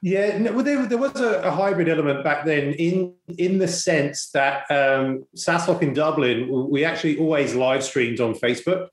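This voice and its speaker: A man hosting a conversational interview, speaking haltingly with repeated words and frequent "you knows". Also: a little high. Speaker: podcast host. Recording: echoey room.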